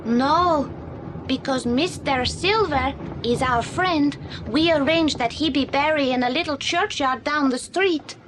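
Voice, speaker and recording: Audio play role: earnest young Spanish-accented boy, very high-pitched, simple broken English, noisy old recording. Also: measured pace. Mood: regretful. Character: earnest young immigrant boy, Spanish-speaking, telling a story. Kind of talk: British audio drama acting.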